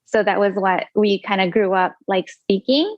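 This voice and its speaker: A woman's voice: medium pitch, moderate pace, dry recording. Chatty woman author on a dull call line. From Indonesia. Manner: chatty, many fillers and laughs. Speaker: author guest. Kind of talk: casual interview chat.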